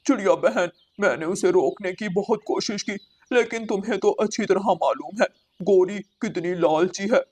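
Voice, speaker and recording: A woman's voice: mid-range voice, moderate pace, darkish tone, slightly rough, natural voice. A protective male crow from a children's cartoon , acted by a woman. He is suspicious and anxious, scolding and pleading with urgency in a medium female register. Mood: worried pleading. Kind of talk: children's animated story dialogue.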